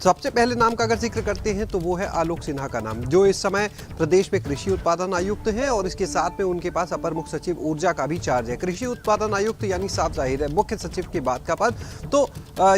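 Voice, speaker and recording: A high-pitched male reporter gives a live news update over faint music. He explains things conversationally, pausing on small hesitations as he goes.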